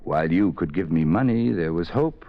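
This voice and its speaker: A menacing gun-wielding writer. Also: male voice, low voice, animated, normal speed. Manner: sinister, toying, swings from soft to sudden shouts. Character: menacing male writer holding a woman at gunpoint. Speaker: radio drama actor. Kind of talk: 1940s radio acting. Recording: dull lo-fi old radio recording.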